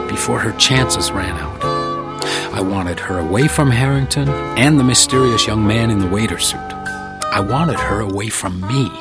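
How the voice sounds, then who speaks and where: An actor playing a composed, world-weary hotel house detective in a noir radio drama, wry and probing. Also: male voice, lowish voice, natural voice. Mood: brooding. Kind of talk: radio drama acting with first-person noir narration.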